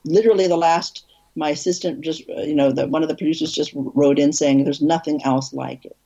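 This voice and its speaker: A husky, fairly low-voiced woman recounting her career as a director in an easygoing, rambling way. She restarts sentences often and talks with animated emphasis. The recording sounds dull and lo-fi. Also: brisk. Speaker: film director, interview guest. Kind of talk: casual interview storytelling.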